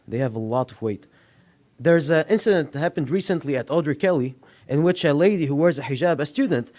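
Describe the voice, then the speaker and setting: A man speaks at a podium mic in a public meeting room, his voice sitting a bit high for a man. He confronts an official head-on in a public comment, pressing each point firmly. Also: a little mellow. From Lebanon. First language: Arabic. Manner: confrontational, addresses an official directly.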